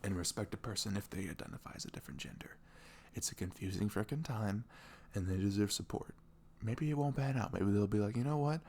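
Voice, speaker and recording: A man playing a sweet, caring boyfriend in an intimate ASMR roleplay, speaking softly and tenderly in a velvety, often breathy voice with earnest, rambling reassurance. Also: on the low side, brisk, hardly any pauses, a little hiss or hum, natural voice. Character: sweet, caring, devoted young boyfriend talking in bed.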